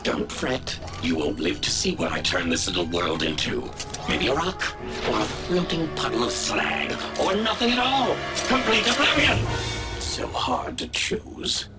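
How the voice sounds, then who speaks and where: A man's voice: mid-range voice, big pitch swings, unhurried, loud music behind the voice. A man playing a megalomaniac cyborg villain in a video game, sneering and gloating with theatrical menace. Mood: menacing, grandiose.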